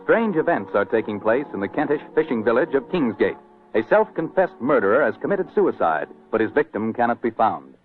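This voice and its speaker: A man's voice: medium pitch, big pitch swings, brisk, soft background music. A man announcing in 1940s radio style, with folksy, emphatic salesmanship. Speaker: announcer and sponsor pitchman. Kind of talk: 1940s radio advertising and narration. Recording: tinny, dry old radio recording.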